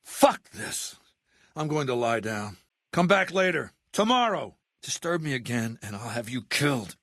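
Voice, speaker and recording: A man playing an aging, imperious warlord dictator in a video game, with a measured, commanding and menacing manner. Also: medium pitch, big pitch swings, fairly bright tone, no room sound, heavily acted. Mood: irritated, pained. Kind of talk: video game character dialogue.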